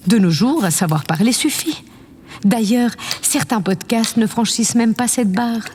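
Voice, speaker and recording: A woman's voice: on the high side, measured pace, darkish tone, lightly acted. A woman playing a vain, imperious yet affectionate president of a space colony in an audio drama, with a pompous, condescending manner. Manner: pompous, condescending, theatrically self-satisfied. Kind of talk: scripted audio drama acting. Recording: long reverb, clear background noise.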